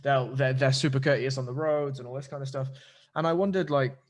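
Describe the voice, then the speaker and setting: Man, podcast host, mid-range voice, animated, swears, casual chat. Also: fairly quick. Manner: animated, swears freely, pitches theories and questions.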